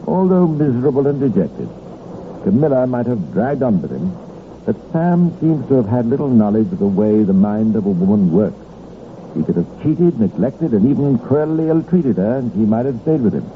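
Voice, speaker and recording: A man narrating a scripted true-crime radio programme in a measured, formal storytelling manner, on an old recording. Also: mid-range voice, big pitch swings. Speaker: narrator and host. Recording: tinny, noisy 1950s radio recording.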